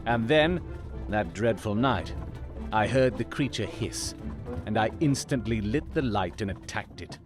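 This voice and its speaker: An actor playing a brilliant Victorian detective in an audio drama, coolly analytical, with precise, theatrical, formal delivery. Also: male voice, lowish voice, animated, a little slow, over music. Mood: grave, deliberate.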